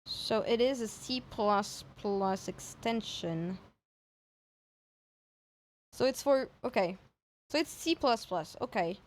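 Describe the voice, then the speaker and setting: A woman's voice: medium pitch, slow pace, pauses often. A woman chatting casually on a livestream, hesitant and thinking aloud as she repeats herself. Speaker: streamer reacting to horror videos.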